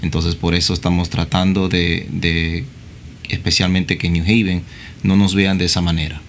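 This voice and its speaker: A man, a police officer, speaking in a measured way with fillers and tag questions. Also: low voice, level tone, a little hiss or hum. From Peru. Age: 30s.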